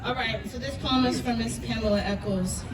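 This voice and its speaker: A woman performing spoken-word poetry live, speaking emphatically and deliberately, with repeated phrases. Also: fairly high pitch, a loud crowd. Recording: echoey, noisy live venue, distant mic.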